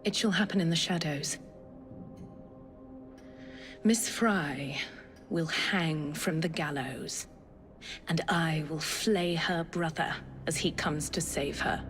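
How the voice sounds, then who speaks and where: An actress playing a cold, menacing Templar lieutenant bent on vengeance. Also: female voice, low-pitched, slow, pauses often, a bit bright, faint music behind. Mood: vengeful.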